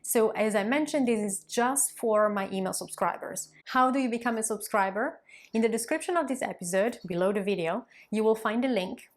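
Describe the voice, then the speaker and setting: A woman's voice: fairly high pitch, moderate pace. A woman, a language coach in her thirties, talking straight to her viewers in an encouraging, conversational way. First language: Italian. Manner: warm, encouraging, conversational with occasional fillers. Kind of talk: direct-to-camera coaching talk.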